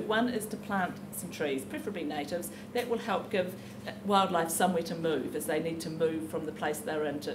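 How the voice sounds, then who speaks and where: A woman with a slightly low voice gives an informal campaign talk at a public meeting. She explains in a halting, conversational way. The live recording is echoey, with clear background noise.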